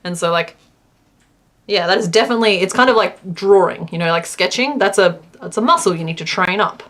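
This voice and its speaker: A woman's voice: medium pitch, a little fast. Chatty woman crochet streamer. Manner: chatty, rambling, lots of "like" fillers; reads chat aloud and counts stitches quietly. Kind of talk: casual livestream chat while crocheting.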